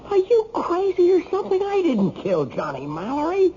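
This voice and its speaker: A man's voice: extremely high pitch, huge pitch swings, normal speed, mellow, heavily acted. An actor playing a desperate, wrongly accused ex-con in his thirties, agitated and self-pitying, in an old-time radio drama. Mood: indignant. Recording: dull, lightly noisy old radio recording.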